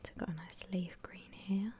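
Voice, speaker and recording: A woman softly whispering an ASMR drawing tutorial in a breathy, gentle, unhurried way, like an instructor. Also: on the low side, fairly even intonation. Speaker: ASMR art channel host.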